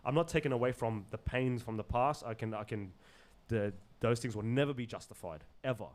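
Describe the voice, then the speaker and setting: A man from Zimbabwe with an Australian accent, talking earnestly and haltingly in casual podcast conversation, often repeating words as he searches for phrasing. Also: medium pitch. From Zimbabwe, moved to Australia as a child. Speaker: podcast guest.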